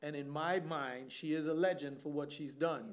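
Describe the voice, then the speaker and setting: A middle-aged man hosting an on-stage church interview, energetic and preacherly, calling for amens. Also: a little high, normal speed, a crowd in the background. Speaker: church event host.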